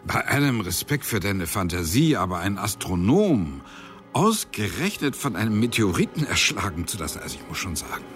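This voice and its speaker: Wry, animated, low-voiced senior angel. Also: male voice, normal speed, soft background music. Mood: wry disapproval. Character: skeptical, wry superior male angel questioning an underling. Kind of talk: German radio drama acting.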